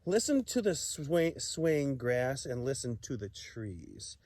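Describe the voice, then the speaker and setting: A man voicing a kindly, wise cricket in a storybook, speaking in a gentle, soothing, drawn-out way. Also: medium pitch, very animated, a little hiss or hum. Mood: gentle, reassuring. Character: kindly, wise cricket encouraging a sad friend.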